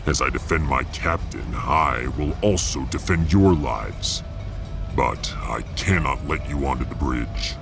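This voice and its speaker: A man playing a huge, proud, battle-loving warrior officer in a sci-fi audio drama, gravelly and pompously formal. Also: unhurried, over music, heavily acted. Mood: proud, gruff. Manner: booming, pompous, formal declarations.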